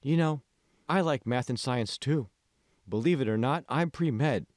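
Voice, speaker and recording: A man's voice: mid-range voice, measured pace, darkish tone, no room sound, clean studio sound, pitched above the performer's own voice, lightly acted. A man voicing a friendly young woman in an audiobook, with a light, chatty manner. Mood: confiding. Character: friendly young woman, pre-med student turned movie actress.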